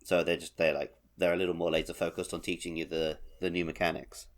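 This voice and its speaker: A man's voice: sits low, flat delivery, fast talker. A man co-hosting a gaming podcast, talking casually in an explanatory, analytical way. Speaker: podcast co-host.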